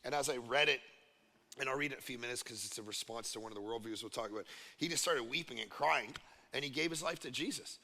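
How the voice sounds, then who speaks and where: Pastor, male, from Canada, gravelly and piercingly bright, chatty sermon delivery, long church-hall reverb. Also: mid-range voice. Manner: animated, conversational preaching with many "right?" asides.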